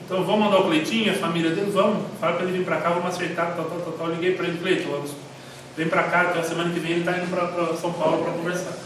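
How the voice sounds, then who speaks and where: Brazilian guy telling stories at church, chatty and animated, big echoey room, faint hiss. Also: high-pitched, rapid-fire. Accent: Brazilian Portuguese. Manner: animated, rambling, storytelling. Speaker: church teacher. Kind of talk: informal church class talk. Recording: echoey hall, mic far off, faint noise.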